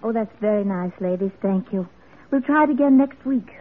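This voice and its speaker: A woman's voice: medium pitch, brisk, phone-quality sound, natural voice. Woman playing a determined genteel lady, thin old-radio sound. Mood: gracious. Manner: earnest, insistent, well-mannered. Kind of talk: old-time radio drama acting. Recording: thin vintage radio recording.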